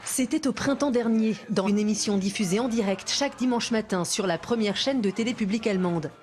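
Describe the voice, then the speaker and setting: A woman presenter narrating a TV report in a clear, lively scripted delivery. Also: mid-range voice, brisk, a little hiss or hum.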